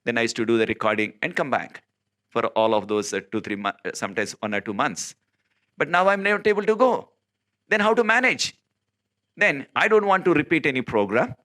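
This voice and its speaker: A preacher from South India whose first language is Telugu delivers a sermon. His voice is bright and forceful, set in an ordinary middle register. He speaks earnestly, with frequent fillers and sudden, emphatic rises in pitch. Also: animated, a little fast. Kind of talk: informal recorded sermon.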